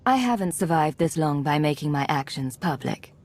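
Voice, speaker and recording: An actress playing a self-reliant secret agent in a video game, controlled, dry and terse. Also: female voice, deep voice, expressive, moderate pace, darkish tone. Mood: cool, composed. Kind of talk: video game cutscene acting.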